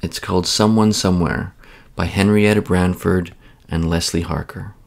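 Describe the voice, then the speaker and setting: A man narrating a children's bedtime story in a measured, expressive read-aloud style. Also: sits low, creaky.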